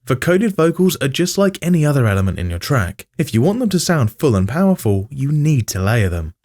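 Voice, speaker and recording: A man's voice: medium pitch, big pitch swings, fairly quick, a little mellow. A man giving scripted tutorial narration, explaining step by step in informal language and addressing the viewer directly. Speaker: music production tutorial host.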